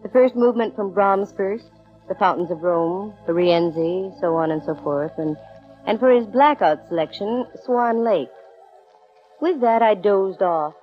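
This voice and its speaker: A woman's voice: mid-range voice, unhurried, soft background music, lightly acted. A woman playing a wry, self-assured young private detective in 1940s radio drama style, with dry, breezy delivery. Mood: wry narration. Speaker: lead actress in radio detective series. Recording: dull vintage radio recording.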